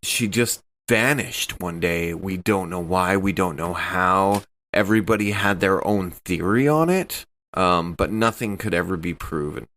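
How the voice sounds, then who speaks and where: A man's voice: low voice, a little slow, fairly bright tone. A man playing in a tabletop game podcast, improvising his character's story and chatting at the table.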